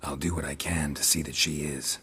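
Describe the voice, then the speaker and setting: Fantasy game monster hunter: stoic, terse, extremely low voice, clipped delivery, faint background noise. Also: male voice, brisk. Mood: calm. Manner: terse, flat, clipped sentences. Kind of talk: video game character acting.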